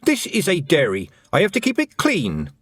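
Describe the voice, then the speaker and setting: A man's voice: on the high side, huge pitch swings, normal speed, a bit bright, dry recording, clean studio sound, pitched above the performer's own voice, exaggerated voice. A man reading a stern, practical young girl. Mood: firm. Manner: firm, matter-of-fact.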